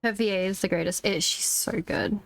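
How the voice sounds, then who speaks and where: A woman's voice: mid-range voice, fairly quick, creaky. A woman giving casual live commentary as she streams a game, with brief reactions. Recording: dry close mic over game music.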